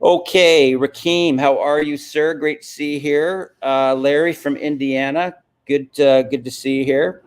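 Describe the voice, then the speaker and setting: A man's voice: on the high side, fairly even intonation, unhurried, clean tone. A man hosting a live stream, answering viewers and stressing points with frequent "okay?" check-ins. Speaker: host of a live business stream.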